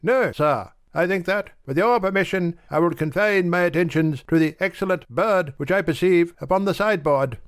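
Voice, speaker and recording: Man acting a high-voiced pompous gentleman. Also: moderate pace, hardly any pauses, no room sound, studio quality. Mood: jovial, pompous. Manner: pompous, wordy, formal. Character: pompous, grateful middle-aged gentleman, Mr Baker. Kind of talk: dramatised audiobook reading.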